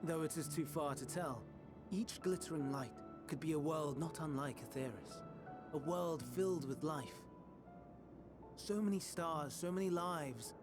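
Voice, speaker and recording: A man's voice: a little high, lightly acted. Actor as a light-voiced, melancholy male scholar. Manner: measured, formal, archaic phrasing. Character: soft-spoken melancholy male scholar, idealistic, quietly tormented. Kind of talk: dramatic video game voice acting.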